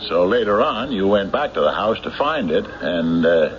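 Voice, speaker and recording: A man playing a tough, skeptical sheriff in an old radio drama, measured, dry and probing, on a muffled recording. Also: low voice, big pitch swings, a little hiss or hum. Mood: stern, suspicious. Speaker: sheriff narrating his own investigation. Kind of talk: old-time radio drama acting and narration.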